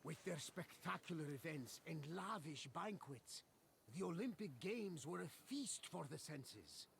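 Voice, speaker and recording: A man's voice: a little high, sing-song, measured pace, vocal fry, a little hiss or hum, full character voice. An actor voicing a courteous male tour guide in a history video game, speaking in a formal, gracious way. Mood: welcoming.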